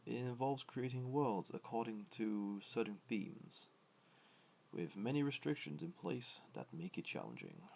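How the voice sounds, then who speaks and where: Gentle immortal god for ASMR audio roleplay, played by a man: deep, crackly, hushed, fond and unhurried. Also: full character voice. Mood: modest, pleased. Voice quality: low, soft, creaky, breathy at times. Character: gentle, ancient male god, fond, comforting, modest. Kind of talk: soft-spoken ASMR comfort roleplay.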